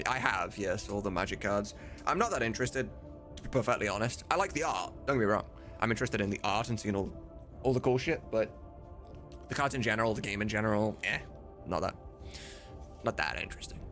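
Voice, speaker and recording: A man's voice: fairly low pitch, quick pace, a bit bright, soft background music. A man chatting casually and informally as a livestream host, with some mild swearing. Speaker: livestreamer and host of a game voice-dubbing mod team.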